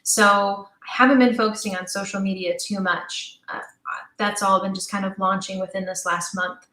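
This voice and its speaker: A young woman speaks as a guest in a casual podcast interview. Her talk is chatty and emphatic, with a middle-range pitch. The recording has a noticeably roomy echo. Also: fairly even intonation, normal speed, sharp tone. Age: young adult. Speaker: interview guest, audio drama creator. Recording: roomy, echoey voice.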